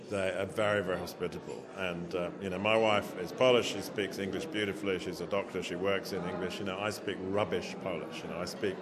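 Chatty low-voiced man, crowd behind. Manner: chatty, anecdotal, many fillers. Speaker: interviewee. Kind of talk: casual chat.

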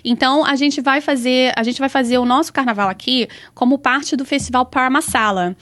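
A woman's voice: high-pitched, a bit bright. A woman with a Brazilian accent, a samba school organizer chatting eagerly as an interview guest, restarting her phrases as she goes. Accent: Brazilian Portuguese. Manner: enthusiastic, talks in quick restarting runs. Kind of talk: casual interview chat.

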